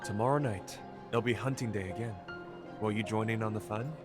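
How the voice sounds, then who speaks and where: An actor playing a prying bartender who trades in information, conversational and probing, in a video game. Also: male voice, lowish voice, fairly even intonation, a little fast, natural voice. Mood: casual needling. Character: nosy, needling male bartender who deals in information. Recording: music behind the voice.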